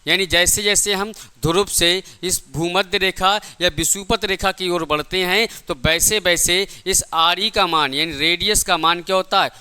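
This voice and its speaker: A man's voice: high-pitched, normal speed, few pauses, sharp tone, clean tone. A man teaching a lecture in a didactic way, repeating points and asking rhetorical questions. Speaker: physics teacher for exam coaching. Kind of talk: classroom lecture.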